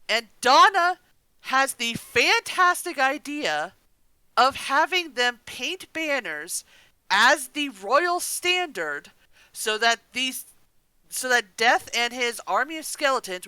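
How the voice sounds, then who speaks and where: A woman's voice: on the high side, pushed and bright, clear, resonant tone, no room sound, rough recording. A woman co-hosting a casual review chat about an audio drama in a gushing, enthusiastic manner.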